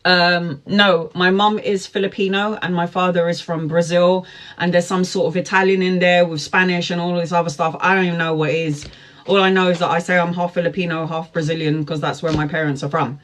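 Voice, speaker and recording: A man's voice: high-pitched, flat delivery, fairly quick, a bit bright, a little hiss or hum. A man in his forties, a tarot reader telling a rambling personal story to camera in a chatty way. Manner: rambling, chatty, frequent fillers and asides.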